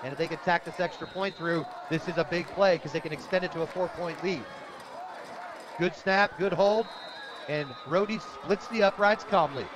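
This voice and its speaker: An American man doing live radio play-by-play of a high school football game, in clipped, energetic present-tense calls. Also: normal speed, noisy background. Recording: loud crowd behind outdoor broadcast. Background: stadium crowd.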